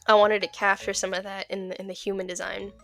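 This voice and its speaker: A woman's voice: mid-range voice, level tone, normal speed, vocal fry, a bit bright, soft background music. Giggly young woman with a slightly off voice. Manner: giggly, stumbles and repeats words. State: recovering from illness, voice a bit off. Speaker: video creator. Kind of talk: casual narrated art vlog.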